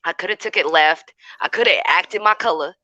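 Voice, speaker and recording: A man's voice: high voice, a little fast, dry recording, lo-fi sound. A man in casual chat who tells stories with energy and acts out what people said. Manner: animated storyteller, acts out quotes. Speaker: co-host.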